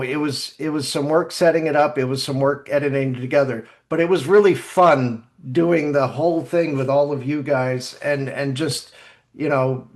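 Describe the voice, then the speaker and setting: A man hosting a casual group podcast chat, talking in a halting way and often repeating his words. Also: medium pitch. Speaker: podcast host.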